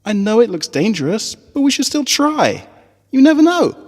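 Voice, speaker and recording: Storybook little pony girl, acted by a man: hopeful, studious, very high swooping voice, reverberant. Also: sing-song, normal speed, full character voice.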